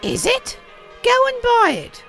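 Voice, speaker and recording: A woman playing elderly reformed miser, storybook character, high swooping pitch, effusive, earnest, exclamatory, faint music behind. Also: very animated, measured pace, vocal fry, heavily acted. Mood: delighted, eager. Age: older. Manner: exclamatory, effusive, old-fashioned phrasing.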